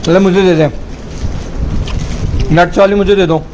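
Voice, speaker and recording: A hoarse man chatting casually to viewers in a family vlog at home, lively and teasing with his kids. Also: high-pitched, measured pace. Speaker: family vlogger. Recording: noisy home recording.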